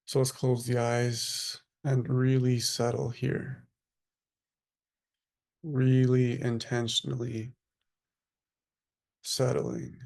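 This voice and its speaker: Guy leading a meditation, super slow n flat, lots of vocal fry, hushed with long gaps. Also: mid-range voice. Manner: unhurried, hushed, sparse phrases with long pauses. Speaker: meditation teacher leading a group. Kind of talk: guided meditation.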